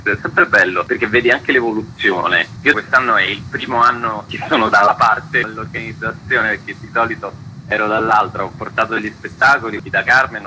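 A man's voice: fairly low pitch, pushed and bright, a little hiss or hum, rough recording. A man talking enthusiastically and conversationally in a radio interview as an event organiser. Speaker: festival organiser being interviewed.

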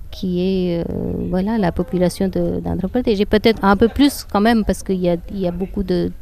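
A woman's voice: fairly low pitch, steady tone, moderate pace, gravelly, darkish tone. A woman from northern India whose first language is Hindi, explaining things in a conversational way with frequent hesitations and restarts, recorded outdoors in a public garden. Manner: hesitant, many fillers and restarts. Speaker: guide and interpreter.

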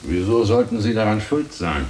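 A man's voice: on the low side, very animated, moderate pace, a little mellow, breathy edge. An actor playing a surly, cocky ladies' man with a curt, dismissive way of talking in a German radio play. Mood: curt, irritable.

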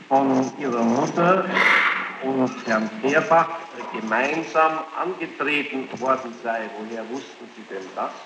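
A man examining a witness in court, speaking formally and haltingly, pressing insistently and repeating answers sceptically. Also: mid-range voice, dull audio. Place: large reverberant courtroom. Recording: noisy, echoing archival courtroom tape.

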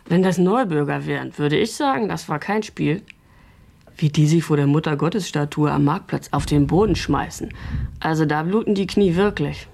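Breezy esoteric woman, slightly husky. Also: low-pitched, moderate pace, darkish tone. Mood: opinionated. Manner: colloquial, gushing, chuckling between phrases. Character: chatty esoteric woman, spiritual and breezily self-assured. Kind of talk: naturalistic radio drama acting.

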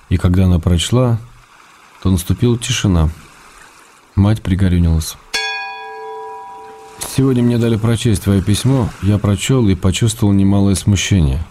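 An actor playing a weary, affectionate writer husband, reading his letters in a restrained, gentle way in a radio play. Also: low voice, normal speed, long pauses, slight background noise, lightly acted. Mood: calm, fond, then troubled, reproachful.

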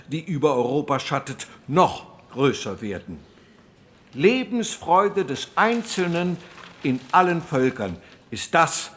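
An earnest postwar male parliamentarian, orating slowly in a raised pitch. The delivery is formal and declamatory, as in a radio docudrama. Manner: declamatory, deliberate. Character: earnest postwar conservative parliamentarian giving a speech.

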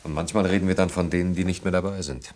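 Male actor as brooding engineer guy in a radio drama, deep voice, thinking out loud, stops and starts. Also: fairly even intonation, quick pace, lightly acted. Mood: brooding, reflective. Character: brooding engineer haunted by wartime childhood and lost friends. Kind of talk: German radio play acting.

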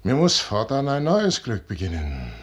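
An actor playing a proud, weary, fatalistic aging general in a grand, measured 1950s radio drama style. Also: male voice, fairly low pitch, wildly swooping pitch, slightly rough, exaggerated voice. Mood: weary, musing. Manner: measured, grand, dismissive, declaiming. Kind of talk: 1950s German radio drama stage acting. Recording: noisy 1950s radio recording.

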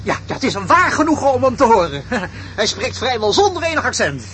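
A man playing a smooth-talking young student conman who poses as a professor, glib and hesitating, in a radio play. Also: very high voice, big pitch swings, brisk, a bit bright, full character voice. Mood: glib, deferential. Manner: glib, hesitating, mock-deferential. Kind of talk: Dutch radio play acting. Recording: clearly noisy radio play recording.

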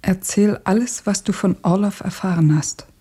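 An actress in a radio play, playing a grieving, intense woman revolutionary who speaks in halting short phrases. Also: mid-range voice, warm tone, natural voice. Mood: insistent, grieving. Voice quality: husky, breathy.

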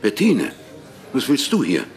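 An actor playing a weary, distrustful old male poet in a literary German radio play, speaking in a measured, curt manner. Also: huge pitch swings, darkish tone. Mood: wary, startled. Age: older. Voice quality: gravelly, very deep.

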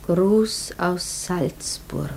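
A woman's voice: fairly low pitch, a little mellow, a little hiss or hum, full character voice. An actress playing a wistful woman lingering over old love letters in a literary radio play. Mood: lingering, melancholy. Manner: slow, lingering, dreamy repetition. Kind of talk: literary radio drama monologue. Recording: radio play with clear music.

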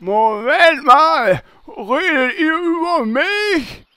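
A woman's voice: high-pitched, lively intonation, very slow, pushed and bright, dry recording, clean studio sound, full character voice. A woman playing Horst's part in a parody audio drama, a childish, naive and easily confused sidekick with a whiny, hesitant delivery. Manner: whiny, hesitant, simple-minded.

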